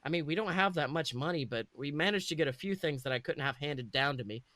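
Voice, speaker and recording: A man's voice: a little high, animated, fast talker, pushed and bright, dry recording. A man voicing an eager, proud eleven-year-old wizard boy who tells his own story in a chatty, self-correcting way. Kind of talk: first-person dramatic storytelling.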